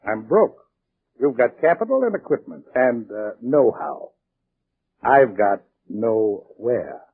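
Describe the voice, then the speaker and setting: An American actor playing a slick, ambitious, pushy prospector with scheming confidence in a 1940s radio drama. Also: male voice, mid-range voice, big pitch swings, takes it slowly, husky, exaggerated voice. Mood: defensive, persuasive. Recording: tinny narrow-band 1940s radio recording.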